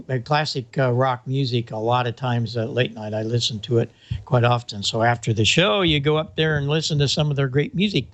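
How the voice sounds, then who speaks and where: A man hosting a show in casual chat, easygoing and joking. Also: mid-range voice. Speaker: show host.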